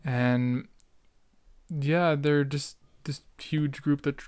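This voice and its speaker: Young man with a smooth, clear voice. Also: fairly high pitch, steady tone, drawn-out words, a little mellow, no echo, clean studio sound. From Canada. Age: late teens, young adult. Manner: rambling, self-interrupting, youthful slang. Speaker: podcast host. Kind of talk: casual solo chat, reminiscing.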